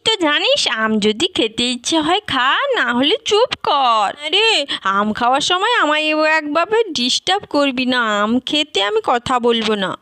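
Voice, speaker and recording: A woman's voice: sits high, very animated, moderate pace, sharp tone, no echo, clean studio sound, heavily acted. A woman voicing a greedy, gleeful, bossy doll mother who gushes over her food in a children's doll story. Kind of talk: Bengali doll-play storytelling.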